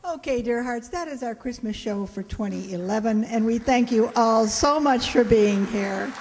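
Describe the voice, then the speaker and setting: A woman's voice: a little high, normal speed, darkish tone. A woman hosting a live show, making a friendly, informal closing announcement to her audience. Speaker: host of a community radio players' show. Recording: reverberant hall with background noise.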